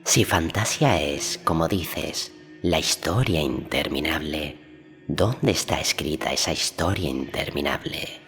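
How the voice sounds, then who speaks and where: A man voicing a grave owl-headed wise woman, mother of intuition, in a storybook, speaking in a measured, formal way. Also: very deep voice, a bit bright, faint music behind, heavily acted. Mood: solemn, questioning.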